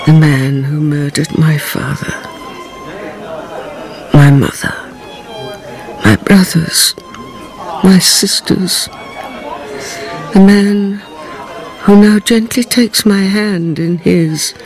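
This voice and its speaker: An actress playing a grave, haunted woman who narrates a radio drama in dramatic, deliberate, weighty phrases. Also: lowish voice, a little mellow, faint music behind, poor audio. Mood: grave, bitter.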